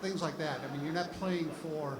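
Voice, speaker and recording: A man reporter with a somewhat high voice asks long, winding questions at a press conference. The room echoes and a crowd murmurs around him. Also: moderate pace.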